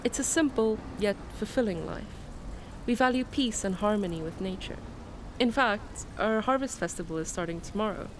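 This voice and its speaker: A woman playing a kind, welcoming townswoman in a radio drama, speaking gently. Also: mid-range voice, moderate pace, close to an everyday voice. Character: kind, welcoming townswoman of a peaceful eco-city. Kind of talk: radio drama dialogue and storytelling. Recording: noisy outdoor ambience behind the voice.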